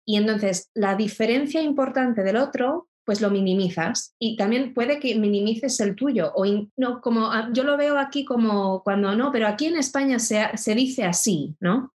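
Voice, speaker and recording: A woman's voice: fairly high pitch, normal speed, hardly any pauses, darkish tone. Woman, thoughtful explainer, restarts phrases. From Spain. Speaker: intercultural educator. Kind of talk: reflective interview talk.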